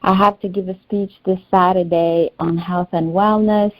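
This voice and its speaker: Hesitant Albanian-native woman, slightly low voice, fillers and restarts, flat dry call audio. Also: moderate pace, no room sound, highs cut off. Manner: hesitant, searching for words, many fillers. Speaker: client in a healing session. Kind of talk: personal sharing in a one-on-one session.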